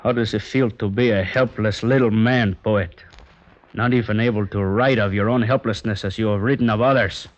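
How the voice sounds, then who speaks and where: Deep and low, this sneering guitar player, played by an actor, taunts slowly and coldly in an old radio drama. The sound is dull and muffled, like a vintage broadcast recording. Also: male voice, fairly even intonation, close to an everyday voice. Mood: taunting. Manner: mocking, taunting, slow and cold. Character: sardonic menacing male guitar player. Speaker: emissary of the kidnappers. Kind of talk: 1950s radio drama acting.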